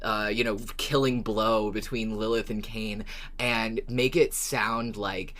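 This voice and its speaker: Podcast co-host, mid-pitched, wry and expressive, for recap and chat. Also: slow pace. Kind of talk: recap summary and casual discussion.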